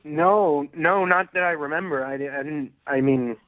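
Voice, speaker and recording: A man's voice: a little high, measured pace, no echo. Nervous man on a phone line. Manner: nervous, hesitant, rambling. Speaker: radio show caller. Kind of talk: casual phone-in chat. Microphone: telephone. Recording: band-limited phone line on radio.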